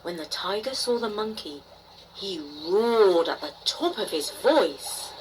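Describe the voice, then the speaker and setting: A woman storyteller narrating a bedtime tale expressively, with dramatic pauses and emphasis. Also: mid-range voice, animated. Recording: faint noise behind the voice.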